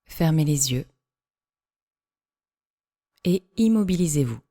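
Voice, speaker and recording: A woman leads a guided yoga relaxation at a very slow pace. Her voice is soft-edged and mellow, sitting a little low. She gives short, measured instructions and leaves space between phrases. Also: animated.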